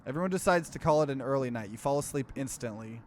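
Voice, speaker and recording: A man's voice: mid-range voice, expressive, fast, darkish tone, a little hiss or hum. A man hosting a let's play, casually commenting and reading game text aloud with expression.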